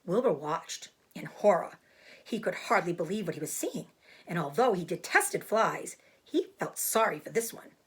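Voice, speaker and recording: A woman's voice: lowish voice, animated, moderate pace, slightly rough. A woman narrating a children's storybook aloud as a teacher would.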